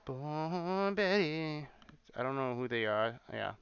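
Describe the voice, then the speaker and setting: A man's voice: a little high, expressive, takes it slowly, fairly bright tone, clear, resonant tone, lo-fi sound. A man hosting a casual livestream, rambling and repeating himself, swearing often as he reacts. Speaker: livestream host. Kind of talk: casual livestream chat reacting to clips and viewer comments.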